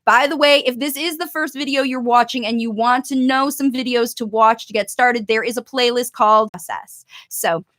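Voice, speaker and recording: Bright chatty woman coach. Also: fairly high pitch, normal speed, few pauses. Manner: upbeat, chatty, reads viewer questions aloud. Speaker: language facilitation coach hosting live Q&A. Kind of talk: live-stream Q&A advice.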